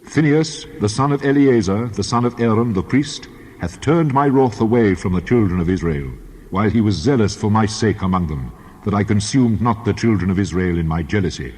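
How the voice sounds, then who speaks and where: A man's voice: on the low side, a little fast, darkish tone, natural voice. A man playing a solemn, stern God who proclaims with weighty authority in a dramatized Bible reading. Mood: solemn, approving. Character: God, solemn commanding male deity, stern and wrathful. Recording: long cavernous reverb.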